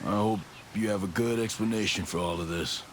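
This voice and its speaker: A man plays a steady male special forces operative in a game cutscene. The voice is very deep and the delivery terse and matter-of-fact, with faint background ambience. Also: fairly quick, a little hiss or hum, close to an everyday voice. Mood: serious. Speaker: video game protagonist, soldier. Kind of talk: video game cutscene dialogue.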